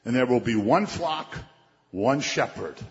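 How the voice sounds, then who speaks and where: A man's voice: mid-range voice, expressive, unhurried. Man preaching in an echoing hall. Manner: conversational preaching, quotes scripture, acts out voices. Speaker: pastor. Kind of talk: sermon. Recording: dull, muffled sound with long hall reverb.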